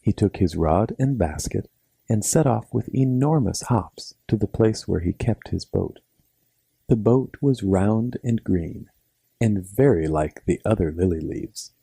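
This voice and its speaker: Very dark-voiced male storyteller. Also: on the low side, animated, a little slow, gravelly, slightly rough, no echo. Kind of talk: scripted children's bedtime story narration.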